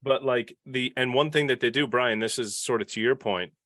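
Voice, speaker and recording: A man's voice: mid-range voice, moderate pace, a bit bright, no room sound, clean studio sound. A man talking casually as a podcast panelist, often restarting the beginnings of his sentences. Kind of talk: casual panel discussion of a TV series.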